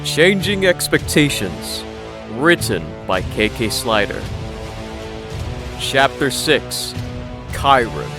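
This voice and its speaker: A man reads a scripted story as an audiobook narrator. His voice sits at an average male pitch. Music plays clearly behind him throughout. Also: big pitch swings, slow, pauses often. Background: background music.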